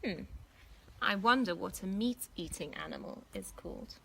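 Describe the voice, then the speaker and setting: A woman reading a storybook aloud for young children in an expressive, deliberate teacherly way. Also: medium pitch, gravelly, a little hiss or hum. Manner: animated, deliberate, pausing to ask questions.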